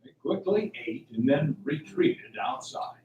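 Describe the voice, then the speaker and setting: Male radio play narrator, animated delivery, recorded off-mic in an echoey room. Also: a little high, unhurried, dull audio. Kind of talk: scripted radio drama narration. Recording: echoey room, distant mic, faint hiss.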